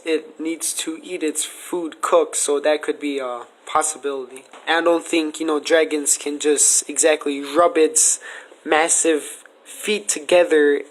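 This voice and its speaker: Higher-pitched man hosting a casual podcast debate. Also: steady tone, unhurried, rough recording. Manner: earnest, speculative, argues his case. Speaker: podcast host asking questions.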